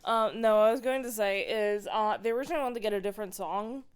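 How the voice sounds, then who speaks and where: A woman's voice: mid-range voice, quick pace, clean tone. A woman co-hosting a film podcast in casual, conversational chat.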